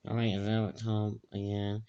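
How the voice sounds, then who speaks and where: Male clip host, casual and halting. Mellow, smooth voice, dull lo-fi sound. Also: fairly low pitch, monotone. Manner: halting, repeats words. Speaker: video host introducing clips. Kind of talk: casual unscripted commentary. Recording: dull lo-fi home recording.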